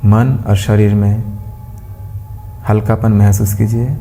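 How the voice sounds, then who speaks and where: A man guiding a meditation as a yoga teacher, giving gentle instructions in unhurried phrases with pauses. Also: on the low side, steady tone, vocal fry, a little hiss or hum. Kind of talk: guided meditation with mantra chanting. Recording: long hall-like reverb.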